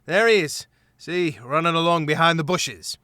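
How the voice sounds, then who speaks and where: A man's voice: a little high, moderate pace, sharp tone, dry recording, pitched above the performer's own voice. A man voicing a cocky male mouse thief who speaks haughtily and jauntily. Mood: teasing.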